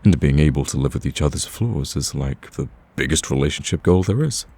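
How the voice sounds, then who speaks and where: A man playing a smug, flirtatious villain in an ASMR roleplay, teasing the listener in a casual, drawling, gravelly voice. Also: animated, fairly quick, dark tone, exaggerated voice. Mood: hopeful flirting. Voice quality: deep, gravelly.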